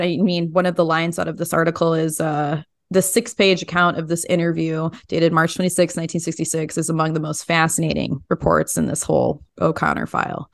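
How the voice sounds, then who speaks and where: A woman's voice: deep voice, dry recording. A woman hosting a podcast, putting questions to guests in a casual interview style.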